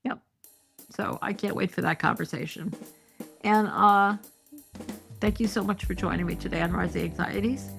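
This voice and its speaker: A woman's voice: mid-range voice, normal speed, vocal fry, long pauses, a bit bright, soft background music. A middle-aged woman hosting a solo podcast, reading news articles and adding sarcastic, indignant asides. She talks with many fillers and is losing her voice. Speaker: podcast host.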